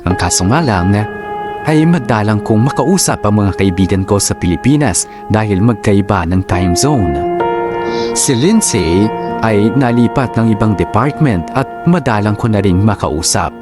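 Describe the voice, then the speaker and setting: A man with a fairly low voice reads a scripted first-person love story. He narrates as in a radio drama. Music runs clearly beneath his voice. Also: expressive, measured pace.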